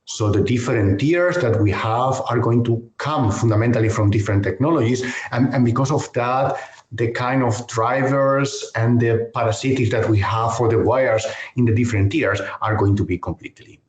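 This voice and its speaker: A man from Spain with a Spanish accent, a university professor giving a technical webinar lecture in a measured, careful way with frequent hesitations. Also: mid-range voice. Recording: echoey online call audio.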